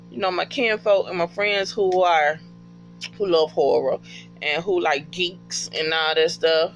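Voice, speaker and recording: High-pitched, creaky-voiced man. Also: fairly bright tone, poor audio. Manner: slangy, profane, emphatic, with repeated phrases. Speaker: podcast fan giving recommendations. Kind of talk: casual, unscripted chat.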